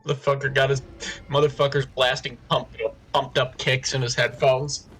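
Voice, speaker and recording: A man's voice: mid-range voice, flat delivery, moderate pace, fairly bright tone, soft background music. A man chatting casually as a co-reader in an amateur story reading. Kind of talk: casual banter.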